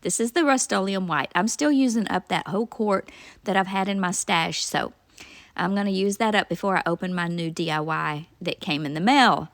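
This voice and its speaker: A woman's voice: medium pitch, moderate pace, no room sound. Nasal-sounding woman, chatty craft vlogger. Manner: chatty, homey, step-by-step explaining. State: nasal from a cold, sore throat. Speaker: craft channel host. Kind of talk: casual craft tutorial voice-over.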